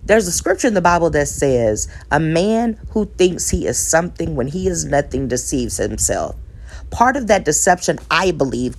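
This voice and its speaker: Woman, lowish pitch, animated melody, earnest advice monologue, preacher-like cadence, self-deprecating. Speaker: single mother giving relationship advice. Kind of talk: reflective monologue.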